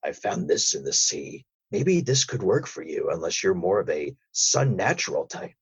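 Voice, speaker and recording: A man voicing a gruff, husky whale interrogator with a stern, deliberate manner in an improvised audio drama. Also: sing-song, exaggerated voice, rough recording. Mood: offering, friendly. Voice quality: husky, low. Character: gruff whale interrogator hunting crab drug smugglers.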